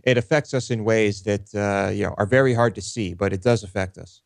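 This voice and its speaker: A man's voice: fairly low pitch, fairly quick, creaky, no echo, studio quality. A man co-hosting a talk show, chatting casually and hesitantly. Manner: hesitant, many fillers and restarts. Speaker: co-host. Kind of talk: casual chat.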